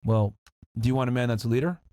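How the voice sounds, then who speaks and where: A man's voice: mid-range voice, fast talker, warm tone, no echo, clean studio sound. A man hosting a casual debate, questioning his guest bluntly and cutting in to challenge her. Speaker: podcast host.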